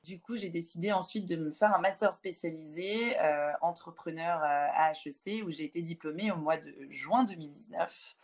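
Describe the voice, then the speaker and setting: Female, 20s, slightly low pitch. Founder-guest in a conversational interview, halting with frequent filled pauses. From Lyon, France.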